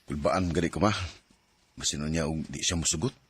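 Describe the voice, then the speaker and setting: A man's voice: a bass voice, steady tone, fairly quick, slight background noise. An actor narrating and playing an earnest, tender young man in a radio drama. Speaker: narrator and lead.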